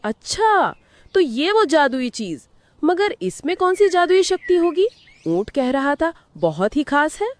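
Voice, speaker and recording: A woman's voice: high-pitched, very animated, measured pace. A woman voicing a sly, self-important fox who poses as a queen in a cartoon, crafty and haughty. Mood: scheming, scornful. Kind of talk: animated moral-story character acting.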